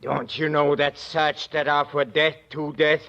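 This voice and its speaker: An actor playing a mad, educated religious fanatic who preaches scripture haltingly and fervently in an old-time radio drama. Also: male voice, flat delivery, creaky, heavy background noise. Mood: frenzied. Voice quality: low voice. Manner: hesitant, halting, fervent scripture-quoting speech. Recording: muffled vintage radio recording.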